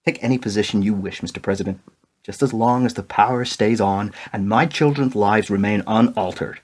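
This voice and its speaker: A man's voice: lowish voice, a little fast, darkish tone, natural voice. An actor playing a blunt, argumentative presidential adviser, a family man with strong convictions, in a radio play. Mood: bitter, indignant. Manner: earnest, argumentative.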